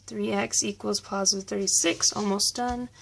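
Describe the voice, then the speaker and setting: A woman math teacher talking through review problems step by step, slightly hoarse from losing her voice. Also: mid-range voice, fairly even intonation, moderate pace. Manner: patient, step-by-step explaining. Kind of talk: recorded math review lesson.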